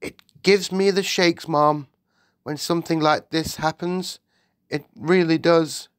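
A woman's voice: low-pitched, steady tone, takes it slowly, no echo, studio quality, pitched above the performer's own voice. A woman voicing a timid, deferential palace maid in an audiobook, stammering and quivering as she speaks. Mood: earnest, anxious.

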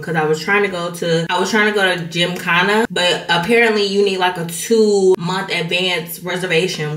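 A woman's voice: on the low side, fairly bright tone, reverberant. A woman vlogger with a stuffy nose, chatting casually to her viewers while out in a city. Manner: chatty, addresses viewers as y'all. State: congested. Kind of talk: casual vlog chat. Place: outdoors in a city.

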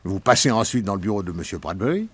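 A man's voice: lowish voice, very animated, quick pace, a bit bright, breathy edge, exaggerated voice. An actor in a French radio drama playing a sarcastic, blustering male sheriff. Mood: accusing. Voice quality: mocking, blustering. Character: gruff sarcastic male small-town sheriff.

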